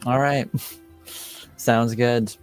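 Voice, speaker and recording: Man, medium pitch, casual tabletop player, loose narration, animated retelling. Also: drawn-out words, soft background music. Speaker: player in a tabletop roleplaying game.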